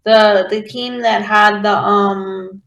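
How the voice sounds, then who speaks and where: Woman, roomy echoing sound, mid-pitched, casual talk, halting restarts. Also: steady tone, fairly bright tone. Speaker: podcast guest. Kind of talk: casual discussion.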